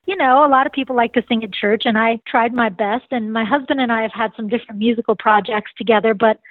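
A woman's voice: fairly even intonation, quick pace, no room sound. Nasal-voiced woman on a tinny line. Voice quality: nasal, fairly high voice. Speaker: musician guest. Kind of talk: casual interview chat. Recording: tinny dry phone line.

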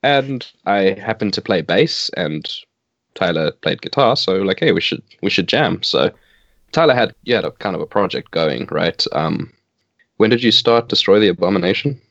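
A man's voice: low voice, normal speed, dry recording, muffled recording. A man in his twenties from South Africa, whose first language is Afrikaans, chatting casually in an interview with frequent laughs. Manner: relaxed, laughs often, hesitant restarts. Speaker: band bassist.

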